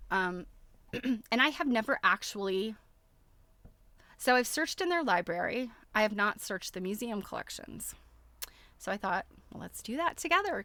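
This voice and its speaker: A woman's voice: medium pitch, a bit bright, no room sound. Chatty, enthusiastic woman, close dry sound. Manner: chatty, enthusiastic, thinking aloud. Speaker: host of a craft livestream. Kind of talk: casual livestream walkthrough of websites.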